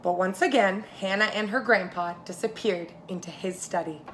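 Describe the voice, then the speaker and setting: A woman preschool teacher reading a story aloud to young children, expressive and speaking to them directly. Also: mid-range voice, normal speed, slight background noise, low-quality recording.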